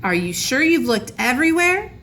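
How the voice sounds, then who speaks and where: A woman's voice: high-pitched, big pitch swings, moderate pace, a bit bright, pitched above the performer's own voice. A woman voicing a concerned mother in a children's storybook, with a raised, questioning delivery. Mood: worried questioning. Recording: noisy room recording.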